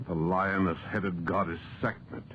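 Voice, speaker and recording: Very deep, solemn professor. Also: male voice, steady tone, a little slow, slight background noise. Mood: solemn, portentous. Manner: solemn, portentous. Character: solemn male museum professor explaining an ancient goddess. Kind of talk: 1940s radio horror acting. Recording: tinny, phone-like old radio recording.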